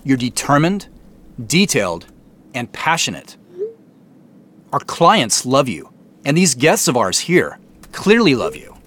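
An actor playing a contrite middle-aged company founder and CEO, speaking in a measured, formal way with deliberate pauses in an audio drama. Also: male voice, mid-range voice, wildly swooping pitch, fairly bright tone, a little hiss or hum, full character voice. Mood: sincere, decisive. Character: earnest, contrite male company founder and CEO addressing staff. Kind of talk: naturalistic audio drama acting.

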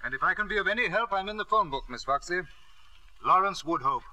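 An actor playing a dry, protective middle-aged family doctor, wry and stubborn, in a 1950s radio drama. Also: male voice, high-pitched, expressive, fast talker, sharp tone, slight background noise, exaggerated voice, poor audio.

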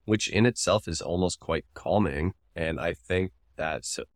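A man's voice: low voice, measured pace, dry recording, studio quality. A man co-hosting a podcast, explaining his opinions in a casual, analytical conversation. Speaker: podcast co-host.

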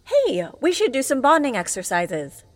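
A woman's voice: sits high, wildly swooping pitch, normal speed. Level-headed woman, echoing hall. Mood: calm, practical. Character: practical, level-headed woman urging others to get along. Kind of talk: audio comedy drama acting. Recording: echoey hall-like reverb.

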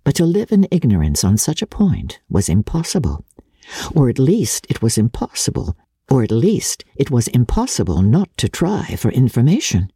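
A woman narrating a classic novel in a measured, theatrical, expressive way. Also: a bass voice, breathy edge, no echo, studio quality. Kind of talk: dramatic literary narration.

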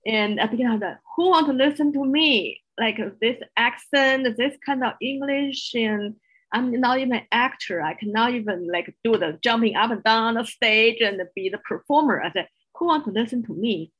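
A woman's voice: fairly high pitch, moderate pace, fairly bright tone, breathy edge, rough recording. A woman with a strong Chinese accent, a non-native English speaker, speaking earnestly as a guest coach in an interview. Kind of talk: podcast interview.

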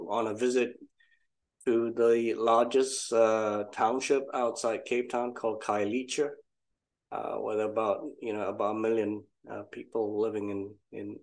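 A man's voice: fairly low pitch, rough recording. An older man from Malaysia, a guest entrepreneur telling his story in a casual interview, reflective and self-deprecating.

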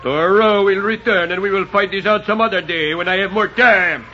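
An actor playing a menacing, mockingly polite backwoods villain in a 1940s radio drama. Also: male voice, high-pitched, moderate pace. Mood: taunting. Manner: mockingly courteous, taunting. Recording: muffled old radio recording, loud noise.

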